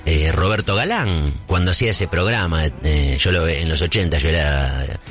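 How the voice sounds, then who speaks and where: A man in his forties with a Buenos Aires accent, delivering a casual, rambling comic monologue as if thinking out loud. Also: very deep voice, moderate pace, faint music behind. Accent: Rioplatense Spanish, Buenos Aires. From Buenos Aires, Argentina. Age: 40s. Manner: rambling, conversational, seeks agreement with tag questions.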